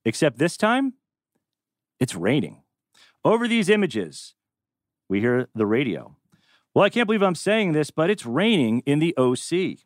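A man's voice: fairly high pitch, sing-song, measured pace, pauses often, no room sound, studio quality. A man hosting a live table read and narrating stage directions, tossing in wry, joking asides.

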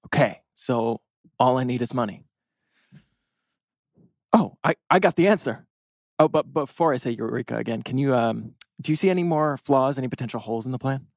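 A man's voice: mid-range voice, normal speed, creaky, pauses often, no echo, studio quality, lightly acted. A man reading a wordy, lovesick teenage boy with big schemes, theatrical and rambling, at a TV drama table read. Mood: excited scheming.

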